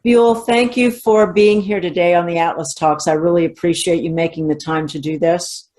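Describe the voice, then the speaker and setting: A woman's voice: on the low side, fairly even intonation, moderate pace, darkish tone. A woman from Texas hosting a talk show, asking her guest questions in a conversational way. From Texas, USA. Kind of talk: conversational interviewing.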